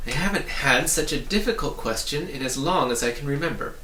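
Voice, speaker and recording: A man's voice: a little high, animated, brisk, pushed and bright. A man voicing a proud, eager, ordinary-sized storybook man who explains himself with cheerful, rambling self-importance. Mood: confiding, pondering. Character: ordinary-sized man claiming four size titles, brightly proud, eager. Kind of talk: storybook character reading. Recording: roomy, distant mic, faint noise.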